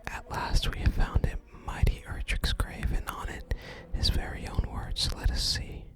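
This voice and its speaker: A person voicing a haughty, grasping villain from a fantasy game in a pompous, archaic, breathy ASMR whisper. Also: normal speed, lightly acted. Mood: scheming, frustrated.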